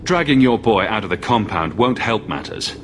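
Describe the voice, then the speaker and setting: An actor playing a blunt, skeptical adventurer in a fantasy game, speaking in a measured way. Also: male voice, on the low side, expressive, fairly bright tone, a little hiss or hum.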